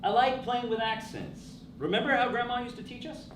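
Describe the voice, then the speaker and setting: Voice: medium pitch, brisk, far from the mic, natural voice. An actor playing a playful Argentine grandchild who laughs and likes playing with accents on stage. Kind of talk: stage play acting. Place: theatre stage. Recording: roomy stage recording from afar with noise.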